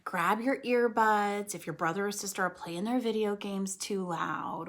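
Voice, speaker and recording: Slightly husky woman reading aloud to children. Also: mid-range voice. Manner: expressive and coaxing, addresses children directly with frequent questions. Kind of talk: children's read-aloud lesson, explaining words simply.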